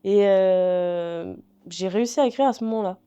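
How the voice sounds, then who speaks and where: A young woman guest talking casually in an interview, relaxed and hesitant with many fillers as she thinks aloud. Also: mid-range voice, moderate pace, smooth, highs cut off, soft background music. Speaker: singer-songwriter guest.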